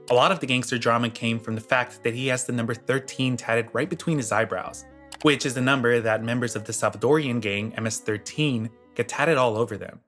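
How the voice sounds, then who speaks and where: A man's voice: medium pitch, a bit bright. A man reading a scripted commentary voice-over, blunt and sarcastic. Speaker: commentary channel host.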